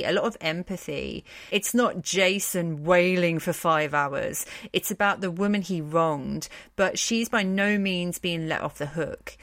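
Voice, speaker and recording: A woman's voice: on the low side, fairly bright tone, dry recording, studio quality. A woman podcast host giving informal scripted narration with a wry, blunt, opinionated delivery.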